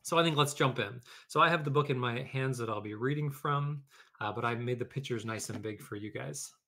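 A man's voice: mid-range voice, a little fast, pushed and bright. A man hosting a read-aloud for children, talking informally and explaining things, or reading a storybook. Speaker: educator, read-aloud host.

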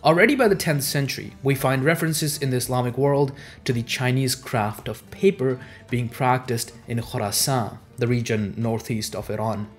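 A man's voice: medium pitch, normal speed, few pauses. Young man narrating history over music. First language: Urdu. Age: young adult. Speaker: history channel host. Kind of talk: scripted educational narration. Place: small home office, indoors. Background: background music.

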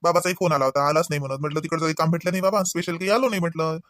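A man's voice: on the high side, fairly even intonation, very fast, a bit bright, no room sound, studio quality, close to an everyday voice. A man voicing an easygoing, agreeable husband in a cartoon, rambling casually. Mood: explaining. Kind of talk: conversational cartoon dialogue.

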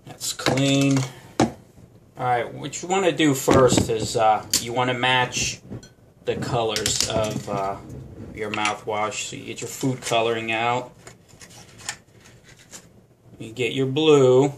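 A man's voice: medium pitch, slow, pauses often, heavy background noise. A man giving a casual how-to demonstration at a home bar counter, talking unhurriedly with many fillers. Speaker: vlogger.

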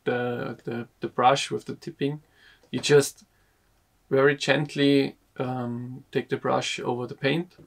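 Slow, gravelly young man explaining. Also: medium pitch, level tone. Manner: slow, halting, repeats words while explaining. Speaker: sailing vlogger. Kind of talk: casual explanation to camera. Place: inside a wooden boat cabin.

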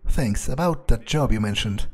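A man's voice: mid-range voice, expressive, normal speed, crowd noise. A man thinking aloud in character during a video game playthrough, in a hesitant, musing way. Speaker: gamer role-playing in character.

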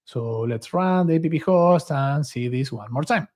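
Higher-pitched man presenting a coding tutorial. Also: lively intonation, moderate pace, a little mellow. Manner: enthusiastic, informal. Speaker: software developer advocate, presenter. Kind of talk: screen-share coding tutorial, casual narration. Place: small home office indoors.

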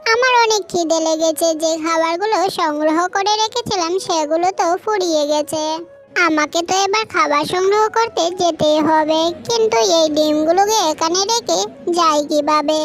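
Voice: very high voice, moderate pace, fairly bright tone. A performer voicing a small, tender, anxious mother duck, speaking emotively to herself in a children's cartoon story. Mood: worried. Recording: children's music behind the voice.

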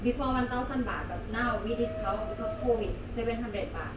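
A woman's voice: on the high side, fairly quick, distant mic. A woman, quoting prices in broken English inside a small shop. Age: 40s. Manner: broken English, matter-of-fact sales talk. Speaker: massage parlor worker. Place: small shop indoors at night. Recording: roomy sound from off-mic with clear street noise.

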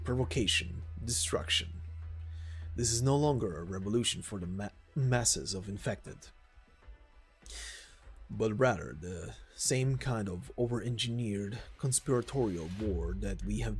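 A man reading a scripted game story as narrator, in a measured, solemn manner. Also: fairly low pitch. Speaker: narrator, amateur voice actor.

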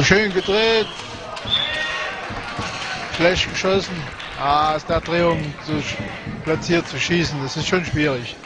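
A man with a regional German dialect giving relaxed, chatty live commentary on a match, with many fillers and short exclamations. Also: on the high side. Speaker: sports commentator. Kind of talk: casual live commentary on a cycle-ball match. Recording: noisy sports hall with crowd ambience.

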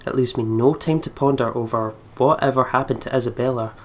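A man's voice: mid-range voice, a little fast. A man reading visual novel narration aloud for a let's play.

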